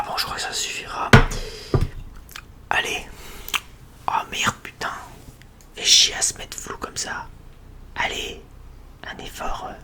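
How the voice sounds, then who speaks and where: An ASMR host chatting casually in a whisper, rambling along in informal, slangy speech close to the microphone. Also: pushed and bright.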